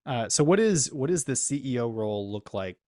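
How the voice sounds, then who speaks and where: A man's voice: medium pitch, expressive, fairly quick, darkish tone, no echo. Rambling man hosting, dry sound. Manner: rambling, with many fillers like "kinda" and "you know". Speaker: interview host and business coach. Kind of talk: business interview.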